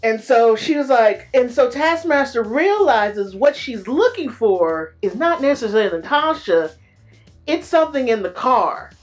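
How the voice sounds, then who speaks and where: A woman recapping a movie in a casual, animated way, full of slang and playful exclamations. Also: fairly high pitch, measured pace, faint music behind. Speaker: movie reviewer.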